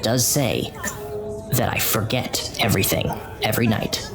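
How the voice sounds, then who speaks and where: A man voicing a proud, reserved female sentinel guard in a tabletop roleplay, speaking in a measured way. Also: on the low side. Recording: loud music and crowd behind.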